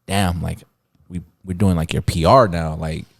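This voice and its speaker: A man's voice: deep voice, steady tone, normal speed, vocal fry. A man, a music producer, talking casually on a podcast in a rambling way full of repeats and fillers. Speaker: music producer and label owner.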